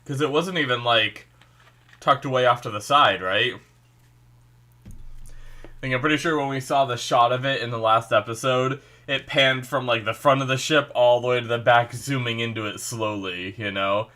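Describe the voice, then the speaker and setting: A man's voice: medium pitch, normal speed, bright, forceful tone. Stuffy-nosed man rambling through a reaction. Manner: rambling, lots of "like" and "you know". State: stuffy nose. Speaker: reaction video host. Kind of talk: casual reaction commentary.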